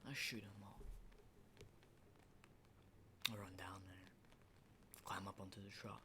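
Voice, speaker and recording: A man playing an unsettling, lonely, hardened apocalypse survivor in an ASMR roleplay, speaking in a halting, breathy whisper and soft voice. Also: deep voice, level tone, background noise. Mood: haunted, storytelling. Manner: hushed, halting, repeats words, mild swearing.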